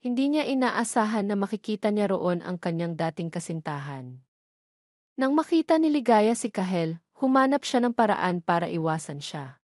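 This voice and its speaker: A Filipino woman narrates a scripted romance drama in a mid-pitched voice. She works as a storyteller, recorded close and very dry, with no room sound around her. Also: big pitch swings, normal speed, a little mellow, no echo.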